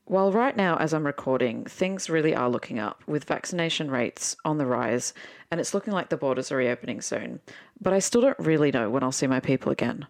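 Aussie woman doing a solo podcast intro, low voice, informal and candid. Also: animated, brisk, gravelly. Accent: Australian. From Sydney, Australia. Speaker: podcast host.

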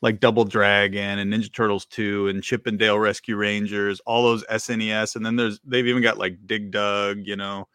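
Casting: middle-aged male podcast co-host, lowish voice, dry close sound, rambling and chuckly. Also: level tone, brisk, few pauses, a bit bright, smooth, no echo. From Virginia. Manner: rambling, filler-heavy, laughs often. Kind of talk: casual chat.

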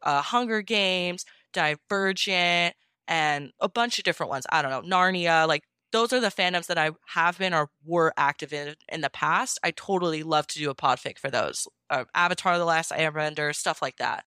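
A woman's voice: low voice, moderate pace, pushed and bright, clean tone, no echo, clean studio sound. A woman being interviewed, chatting informally and explaining things step by step in a casual way. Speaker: podfic narrator being interviewed.

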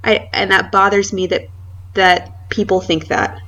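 A woman's voice: mid-range voice, fairly even intonation, measured pace, a bit bright. A woman interviewer in casual conversation, sympathetic and chatty, affirming her guest often. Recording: slightly distant, dull recording.

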